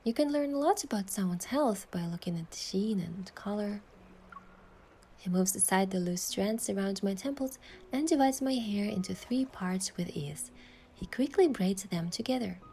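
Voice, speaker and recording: An actress playing a playful, affectionate young heroine who softly narrates her own love story in a romance game. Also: mid-range voice, expressive, moderate pace, a little hiss or hum, lightly acted. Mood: tender.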